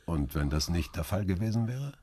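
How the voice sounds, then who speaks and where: A man's voice: low-pitched, lively intonation, normal speed, dark tone, slightly rough, no room sound. A man narrating a crime radio play in the first person and playing its brooding lead.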